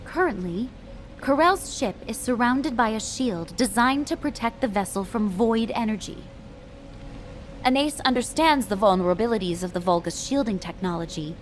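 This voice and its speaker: An actress playing a composed, authoritative female military commander, speaking formally and in measured phrases for a game. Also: a little high, a little hiss or hum, lightly acted. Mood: grave, composed. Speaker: military adjutant giving a briefing. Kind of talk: scripted game dialogue, mission briefing.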